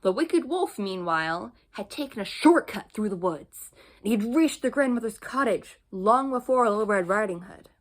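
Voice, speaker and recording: A woman's voice: medium pitch, expressive, moderate pace. A woman narrating a children's bedtime story as she reads from a storybook. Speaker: storybook reader.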